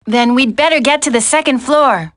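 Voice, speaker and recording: A woman voicing a level-headed, caring commando in a video game, composed and crisp. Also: high-pitched, moderate pace, a bit bright.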